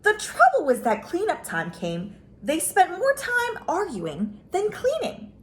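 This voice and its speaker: Woman doing kids story time, high voice, super animated, stretches out the important words. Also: a little hiss or hum. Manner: expressive, drawn-out emphasis on key words. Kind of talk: children's picture book read-aloud.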